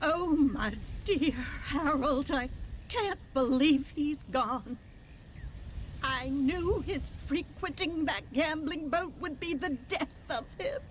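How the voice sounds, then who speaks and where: An actress playing a genteel, self-pitying frontier widow in a Western video game, lamenting melodramatically. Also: female voice, very high voice, a little hiss or hum, heavily acted. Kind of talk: video game cutscene acting.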